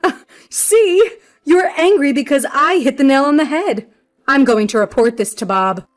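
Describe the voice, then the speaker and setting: Woman playing older mother-in-law, spiteful, smug, raised pitch, emphatic, dramatized story. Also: animated, moderate pace, close to an everyday voice. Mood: gloating. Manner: haughty, accusing, emphatic.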